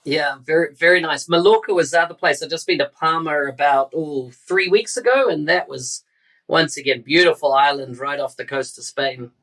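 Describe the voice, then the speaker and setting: A man's voice: on the high side, moderate pace, sharp tone. A man hosting a podcast, introducing a guest and chatting in a friendly, conversational way. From New Zealand. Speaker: podcast host.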